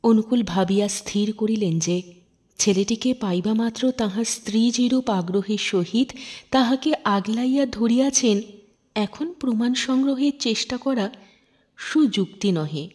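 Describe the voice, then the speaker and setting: A woman storyteller narrating literary fiction in an expressive, measured, dramatic way. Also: fairly high pitch, breathy edge.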